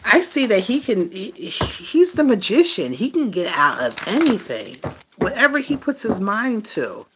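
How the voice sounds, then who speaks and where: A woman doing a casual, improvised tarot reading for viewers, thinking aloud in a halting way and trailing off mid-phrase. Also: medium pitch, sing-song, background noise. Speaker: tarot reader.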